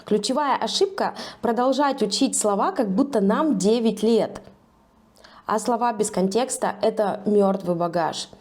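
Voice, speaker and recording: A woman English teacher narrating a scripted video lesson in a measured, persuasive way. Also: mid-range voice, darkish tone.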